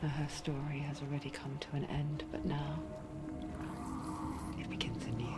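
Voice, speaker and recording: An actress playing a hushed, knowing narrator voice in a video game, whispering slowly and intimately with a foreboding, confiding manner. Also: female voice, low voice, steady tone, close to an everyday voice. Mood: intimate, welcoming. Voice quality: breathy whisper. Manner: slow, confiding, close to the listener, foreboding. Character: hushed, knowing female narrator voice inside a warrior's mind. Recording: loud music under the voice. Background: loud music.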